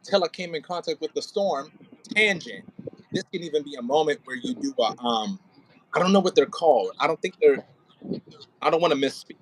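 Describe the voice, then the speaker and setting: A man's voice: fairly high pitch, animated, a little fast, poor audio. A man giving informal, conversational rehearsal notes as a director, often demonstrating how lines could be read. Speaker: audio play director coaching an actor. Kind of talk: casual rehearsal coaching and line notes.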